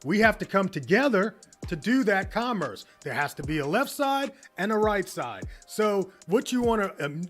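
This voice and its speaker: High-voiced American man coaching with energy. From United States. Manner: energetic, tags lines with "right?". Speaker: business coach. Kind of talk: motivational teaching monologue.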